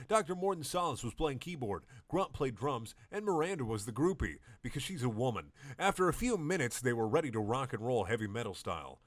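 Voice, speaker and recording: A young man giving a deadpan comedic reading of a story, often stumbling over the words. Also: medium pitch, dry recording. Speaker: reader of a comedic fan story.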